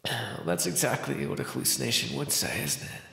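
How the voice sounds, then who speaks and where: A man's voice: medium pitch, huge pitch swings, gravelly, pushed and bright, echoey room, exaggerated voice. A man playing a starving captive vampire in an ASMR roleplay, sardonic and desperate, rambling and swearing through a dry throat. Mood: weak, wry. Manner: wry, rambling, sweary, halting.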